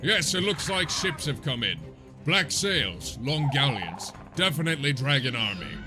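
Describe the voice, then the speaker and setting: An actor playing a stubborn dark elf sentry with blunt, clipped delivery in a fantasy audio drama. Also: male voice, mid-range voice, sing-song, unhurried. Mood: blunt, defiant. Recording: music behind the voice.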